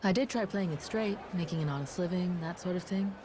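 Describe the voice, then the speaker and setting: A woman's voice: fairly low pitch, brisk, noisy background, close to an everyday voice. Woman playing a confident, tough young bodyguard. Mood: self-assured. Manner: confident, casual, slangy. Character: tough, self-assured young woman, secretary and bodyguard to a queen. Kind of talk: video game cutscene acting.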